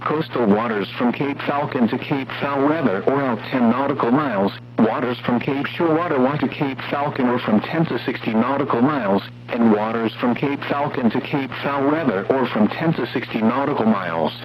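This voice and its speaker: Male automated-style announcer for marine warning bulletins, mid-pitched and formal, on muffled radio with faint hum. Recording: muffled weather radio broadcast, noisy hum.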